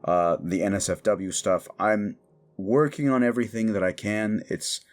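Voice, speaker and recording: Low-voiced man chatting casually. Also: moderate pace. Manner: effusive, informal. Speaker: audio roleplay creator and host. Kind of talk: casual outro chat to listeners. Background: faint music.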